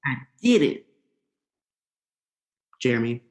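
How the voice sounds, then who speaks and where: A woman's voice: extremely low pitch, sing-song, takes it slowly, darkish tone, exaggerated voice. A young woman in a student radio play, playing a laid-back, vengeful male eel with a casual, slangy drawl. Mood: sardonic, menacing. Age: young adult.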